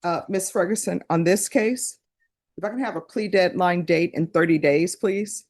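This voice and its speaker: A woman judge running a courtroom docket, brisk, procedural and polite as she sets dates. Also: fairly low pitch, darkish tone.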